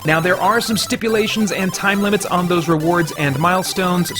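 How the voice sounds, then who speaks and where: A man with a high-pitched voice presents as the host of an online channel. He delivers an upbeat scripted pitch with playful self-deprecation. Music plays behind him. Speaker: host of a geek-culture review channel. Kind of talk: upbeat scripted review outro and fundraiser pitch.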